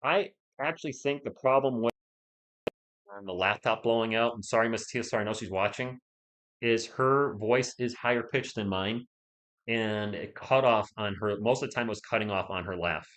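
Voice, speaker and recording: A man's voice: on the low side, moderate pace, poor audio. A man hosting a casual live stream from a home setup, chatting with viewers over a laptop mic. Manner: chatty, talks to chat, loud bursts when testing. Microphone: laptop or desk mic.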